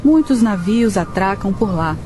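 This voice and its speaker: A woman's voice: medium pitch, animated, measured pace, noisy background. A woman reading scripted storytelling narration for a radio drama. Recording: faint music behind.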